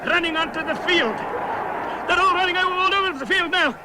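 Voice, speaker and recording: Very high, excitable bumbler. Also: male voice, normal speed, very noisy. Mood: flustered, excited. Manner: theatrical, exclaiming, flustered. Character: excitable, bumbling, boastful male sports commentator and cowboy hero. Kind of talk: madcap 1970s radio comedy acting.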